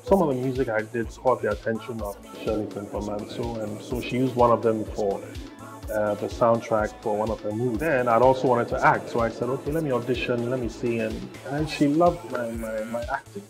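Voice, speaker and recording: Man, medium pitch, interview guest, relaxed delivery, music in the background. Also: a little fast. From Ghana.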